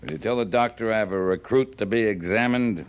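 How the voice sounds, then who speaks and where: Actor as low-voiced, stern cavalry captain. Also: male voice, a little fast, lightly acted. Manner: terse, authoritative. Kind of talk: 1950s radio drama acting. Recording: old radio recording with faint noise.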